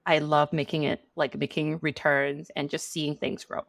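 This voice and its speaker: Low, gravelly woman from Hong Kong. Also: level tone, normal speed, no room sound, studio quality. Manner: chatty, many fillers like "you know". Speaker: venture capital partner, guest. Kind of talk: casual interview answers.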